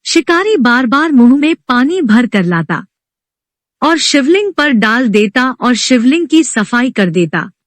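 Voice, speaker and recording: A woman's voice: high-pitched, sing-song, measured pace, no room sound. A woman narrating a scripted devotional story as a storyteller.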